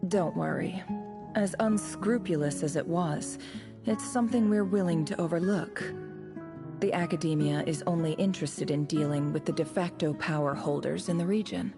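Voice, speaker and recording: Smug female envoy for a game: lowish voice, formal, condescending, quietly threatening, music underneath. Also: normal speed. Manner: formal, condescending, silky menace. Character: smug, scheming woman posing as a haughty ambassador. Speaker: game voice actor. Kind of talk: dramatic video game voice acting.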